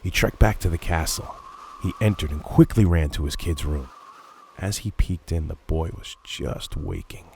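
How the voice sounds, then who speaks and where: A man with a very low voice narrates a horror story in a dramatic style. His tone has a heavy creak and gravel to it. A faint wash of rain sits behind the voice. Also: normal speed. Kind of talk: scripted horror narration, creepypasta reading. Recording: steady background noise of rain ambience.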